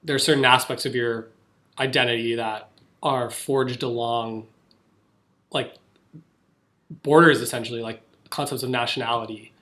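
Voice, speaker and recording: A man's voice: mid-range voice, steady tone, moderate pace, long pauses, bright, forceful tone. A young man co-hosting a podcast, talking casually with lots of fillers and restarts. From United States. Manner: chatty, filler-heavy, many restarts. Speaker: podcast co-host. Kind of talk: casual chat.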